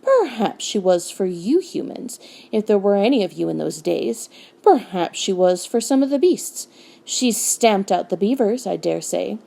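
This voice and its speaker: A woman's voice: medium pitch, very animated, unhurried, creaky, warm tone, full character voice. A woman voicing a bitter, defiant male dwarf for a storybook, cold, sneering and argumentative.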